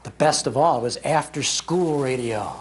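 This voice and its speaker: An older man hosting a live talk, telling nostalgic stories in an animated, emphatic way. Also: mid-range voice, unhurried. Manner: animated storyteller, emphatic repetition. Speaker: radio show host giving a talk. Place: public library talk before an audience.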